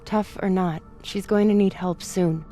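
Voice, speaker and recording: An earnest, worried female crew member played by a woman in a space game. Her medium-pitched voice is urgent and dramatic, with faint music behind it. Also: steady tone, a little slow, warm tone, lightly acted. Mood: worried, supportive. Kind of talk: dramatic video game acting.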